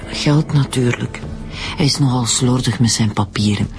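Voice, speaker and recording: A woman's voice: rumbling low, moderate pace, music in the background. An actress playing a troubled, defensive Flemish mother in a radio drama, hesitant and repeating herself. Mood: uneasy confession. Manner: hesitant, stammering slightly, repeats words. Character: wealthy troubled mother, torn, uneasy, defensive.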